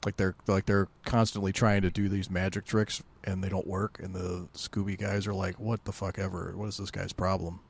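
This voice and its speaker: A man's voice: deep voice, level tone, normal speed, creaky, few pauses, slightly rough. A man co-hosting a podcast in casual chat, thinking aloud in a laid-back, hesitant way. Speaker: podcast co-host.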